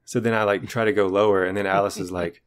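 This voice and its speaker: A man with a naturally deep voice, co-hosting a podcast in a rambling, self-deprecating chat. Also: steady tone, fast. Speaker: podcast co-host. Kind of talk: casual chat.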